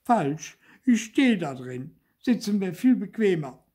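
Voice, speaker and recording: A man's voice: sits high, expressive, takes it slowly, mellow, breathy edge, pitched above the performer's own voice, exaggerated voice. A man voicing a cheeky little puppet boy in a children's story, whining and protesting theatrically. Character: cheeky, whiny little male puppet boy, indignant wisecracker. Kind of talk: dramatised children's storybook reading.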